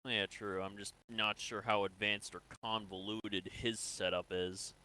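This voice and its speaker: Man playing a low, crisp-voiced military interrogator. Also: flat delivery, unhurried, sharp tone, a little hiss or hum, poor audio. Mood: calm, probing. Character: calm, businesslike male sci-fi military interrogator. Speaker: player in an online roleplay. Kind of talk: improvised in-character game roleplay.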